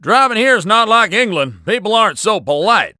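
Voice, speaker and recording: A man's voice: sits high, big pitch swings, moderate pace, pushed and bright, dry recording, clean studio sound, heavily acted. A man with an American accent playing a casual, wisecracking intelligence agent in a video game. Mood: breezy. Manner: casual, folksy, joking. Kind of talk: video game character dialogue.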